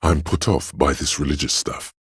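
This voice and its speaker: Man playing a cool cautious lieutenant, extremely low, raspy, controlled, deliberate, game dialogue. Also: fairly bright tone, no room sound, clean studio sound, exaggerated voice. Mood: uneasy. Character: cool, cautious, principled male police lieutenant. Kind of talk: dramatic game dialogue, inner thoughts.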